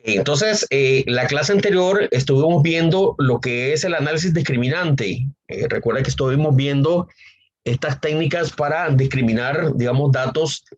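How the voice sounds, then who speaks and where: Male statistics lecturer, hoarse with a cold, mid-range voice, filler-heavy delivery, dull lo-fi sound. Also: medium pitch. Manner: unhurried, with frequent fillers and repetitions. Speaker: university statistics professor. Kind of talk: online lecture.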